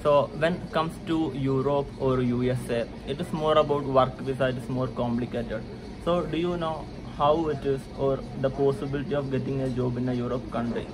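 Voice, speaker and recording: A young man asks questions in a relaxed vlog chat. His voice sits at an ordinary middle pitch, and he speaks politely with small pauses and fillers. He is recorded indoors in a lounge on a camera held close. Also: poor audio. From India. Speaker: vlog host interviewing a colleague. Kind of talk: casual interview questions.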